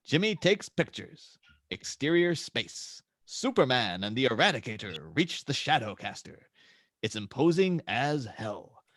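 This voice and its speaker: A man's voice: mid-range voice, big pitch swings, unhurried, a bit bright, no echo. A man narrating scene descriptions and stage directions for a script table read.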